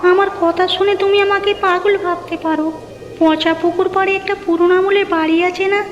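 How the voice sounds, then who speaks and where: Woman voicing a very high-pitched bossy village wife. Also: steady tone, normal speed. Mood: insistent. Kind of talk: cartoon story dialogue. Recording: dull lo-fi audio, music behind.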